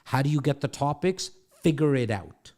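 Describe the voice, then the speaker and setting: A man's voice: medium pitch, expressive, normal speed, darkish tone. Brash, profane man coaching in studio. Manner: brash, profane, pep-talk delivery. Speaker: podcast host and real estate coach. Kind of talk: casual mentoring chat. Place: home studio.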